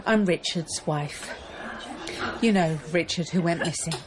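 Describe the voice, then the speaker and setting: An actress playing a blunt, determined teacher and wronged wife in a radio drama, terse and direct. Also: female voice, lowish voice, a little slow, background noise, lightly acted. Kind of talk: naturalistic radio drama acting.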